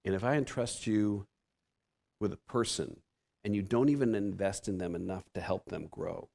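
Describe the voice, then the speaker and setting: A gravelly, fairly low-voiced man in his fifties preaches in a church with a Pacific Northwest American accent. His delivery is conversational and self-deprecating, full of restarts. The creak in his voice stays steady throughout. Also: moderate pace. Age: 50s. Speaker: guest preacher. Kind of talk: sermon. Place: church sanctuary.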